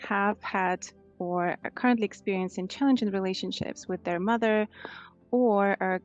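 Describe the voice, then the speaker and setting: A woman's voice: mid-range voice, steady tone, normal speed, lo-fi sound. A woman in her thirties with a Russian accent, answering conversationally as a life coach in an interview. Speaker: life coach, podcast guest.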